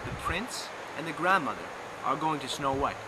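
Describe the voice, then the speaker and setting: A man's voice: on the high side, animated. A young man telling a bedtime story as a live theatrical performance, dramatic and playful, drawing out and repeating phrases. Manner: dramatic, drawn-out, playful repetition. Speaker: narrator. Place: outdoors on a lawn. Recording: noisy outdoor amateur live recording.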